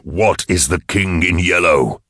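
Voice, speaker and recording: Man acting a very deep, husky, grim interrogator. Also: steady tone, takes it slowly, fairly bright tone, no echo, studio quality, full character voice. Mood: urgent, demanding. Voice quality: husky, grunting. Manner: terse, laboured, relentless. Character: grim, commanding male interrogator, straining with concentration. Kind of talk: dramatised audiobook dialogue.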